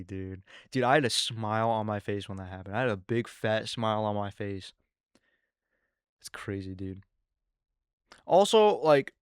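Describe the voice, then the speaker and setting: Excitable eighteen-year-old podcast host. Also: male voice, medium pitch, clear, resonant tone, no room sound, clean studio sound. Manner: rambling, filler-heavy, excitable teen talk. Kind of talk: casual solo podcast chat.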